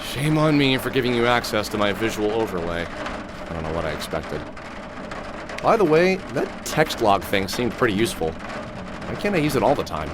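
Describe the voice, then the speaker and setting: Wry middle-aged game hero, very animated. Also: male voice, on the low side, moderate pace, noisy background. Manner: dry, sardonic, casual. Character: wry, weary middle-aged man with cybernetic arm. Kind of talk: video game voice acting.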